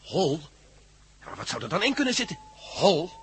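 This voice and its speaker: A man's voice: fairly high pitch, big pitch swings, moderate pace, vocal fry, fairly bright tone, a little hiss or hum, exaggerated voice. A man playing a keen, inquisitive adventure hero in a Dutch radio play, emphatic and dramatic. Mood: curious, intrigued. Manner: emphatic, questioning.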